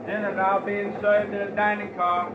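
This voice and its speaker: Male actor as courteous train steward, 1950s radio drama, high voice, off mic in echo. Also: little pitch movement, normal speed, phone-quality sound, close to an everyday voice. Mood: calling out. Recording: distant, echoing, very noisy old radio broadcast.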